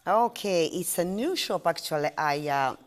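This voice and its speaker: Middle-aged woman, Ugandan accent, low-pitched, highly animated intonation, chatty interview guest, repeats herself for emphasis. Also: moderate pace. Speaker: interview guest, ice cream shop owner. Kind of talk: casual interview answers.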